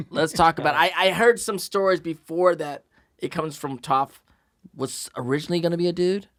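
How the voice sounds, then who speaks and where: A man's voice: fairly high pitch, normal speed, a bit bright, dry recording, clean studio sound. A man co-hosting a podcast in casual, joking, emphatic banter. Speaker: podcast host.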